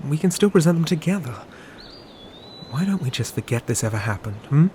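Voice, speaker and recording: A man's voice: on the high side, big pitch swings, a little fast, dark tone, slight background noise. Earnest, pleading man playing a young knight. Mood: coaxing. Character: clingy, pleading male knight, devoted twin brother. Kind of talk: fantasy ASMR roleplay.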